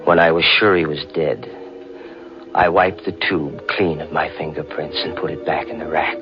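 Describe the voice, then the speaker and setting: A man's voice: extremely low pitch, little pitch movement, normal speed. An actor playing a cold, scheming young man who narrates his own crime in 1940s radio style. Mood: brooding narration. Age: young adult. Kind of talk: 1940s radio acting, first-person narration. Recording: dull old radio broadcast with music.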